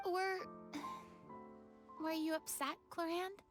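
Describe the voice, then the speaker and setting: An actress playing a dignified yet playful young lady of authority, expressive and measured, in a video game. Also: extremely high pitch, music in the background. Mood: gently concerned.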